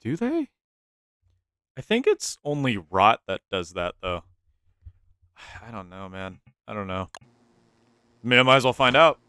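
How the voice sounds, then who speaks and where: A man's voice: mid-range voice, sing-song, unhurried, long pauses, sharp tone, smooth, dry recording. A young man with a stuffy, nasal voice, casually commentating his gameplay, thinking aloud and repeating himself. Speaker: gaming YouTuber.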